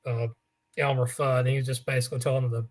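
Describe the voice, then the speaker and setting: Raspy guy rambling thru sports stories on a talk show, lots of uhs and restarts. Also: medium pitch, flat delivery, fast. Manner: rambling storyteller, many restarts and fillers. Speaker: co-host.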